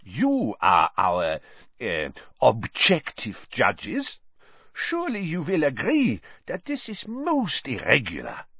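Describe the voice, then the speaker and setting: A man's voice: wildly swooping pitch, takes it slowly, breathy edge, no echo, heavily acted. A man reading an audiobook, voicing an oily, scheming headmaster who turns indignant. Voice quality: unctuous then shrill. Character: oily, scheming middle-aged headmaster, turning furious. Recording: dry, slightly dull audiobook recording.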